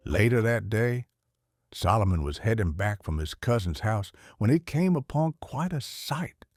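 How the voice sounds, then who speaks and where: A man with a Southern US accent reading a children's storybook aloud in a folksy, expressive way. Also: fairly low pitch, unhurried, no echo, studio quality. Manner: folksy, animated.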